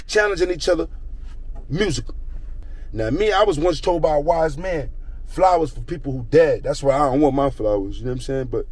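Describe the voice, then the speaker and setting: A man's voice: fairly high pitch, darkish tone. A young man telling a rambling street story in slang, circling back to a repeated catchphrase.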